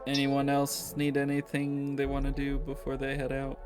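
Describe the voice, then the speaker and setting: A man with a gravelly voice casually narrating a tabletop game as game master. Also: medium pitch, completely flat delivery, normal speed, darkish tone, faint music behind.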